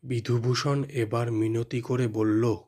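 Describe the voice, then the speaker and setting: A man narrating a scripted horror story in a measured, dramatic, suspenseful manner. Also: medium pitch, steady tone, mellow, breathy edge.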